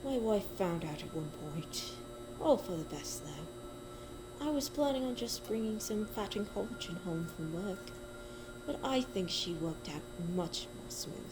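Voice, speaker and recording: A woman voicing a remorseless, deranged male doctor in a horror story, quiet, muttering and unhurried. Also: medium pitch, expressive, over music, lightly acted, low-quality recording. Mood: cold, calm. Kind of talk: dramatic horror story reading.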